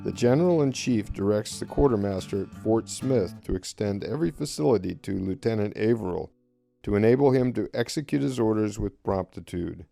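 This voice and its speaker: A man plays a stern nineteenth-century staff officer reading a formal order in an audio story. The voice is very dark and gravelly, deliberate and official, over quiet guitar music. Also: lowish voice, animated, pitched above the performer's own voice. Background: plucked guitar music.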